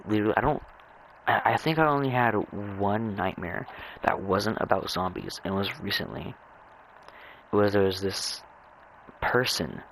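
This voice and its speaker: A young man chatting casually and rambling over his gameplay, halting and stammering as he repeats words. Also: on the low side, creaky, fairly bright tone, a little hiss or hum, low-quality recording. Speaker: gamer narrating own gameplay.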